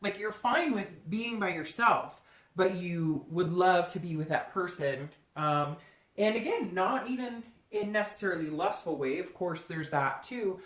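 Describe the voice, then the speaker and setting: Woman w a lowish voice doing a chatty tarot reading, talks right at you, pretty echoey room. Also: animated, a little slow. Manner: conversational, often voices imagined quotes in first person. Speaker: tarot reader hosting a reading. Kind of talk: casual, unscripted tarot reading addressed to the listener. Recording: roomy, echoing room sound.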